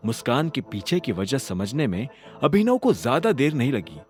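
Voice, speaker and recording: Guy narrating an audio drama, lively pitch, faint music underneath. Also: normal speed. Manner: dramatic, expressive storytelling.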